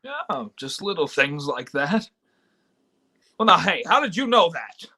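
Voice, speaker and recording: Guy doing a down-on-his-luck folksy radio drama hero, stammery, voice swooping all over, kinda sharp. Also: fairly high pitch, sing-song, measured pace, husky, full character voice. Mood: wry. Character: despairing, stammering everyman wishing he was never born. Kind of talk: old-time radio play live reading.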